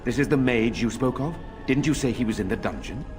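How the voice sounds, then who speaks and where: A man voicing a noble lord in a video game, formal and measured in the way he speaks. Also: medium pitch, a little mellow, lightly acted. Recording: clear game music behind.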